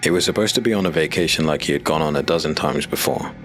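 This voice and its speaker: Deep-voiced man narrating a dark tale. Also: soft background music. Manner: measured, dramatic storytelling. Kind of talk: scripted audiobook narration.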